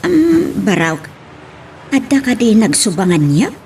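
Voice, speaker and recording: A woman's voice: medium pitch, sing-song, unhurried, a little mellow, slight background noise. A woman playing a kindly, concerned mother in an audio drama, hesitant and fretful. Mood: worried. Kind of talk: Ilocano radio-style drama acting.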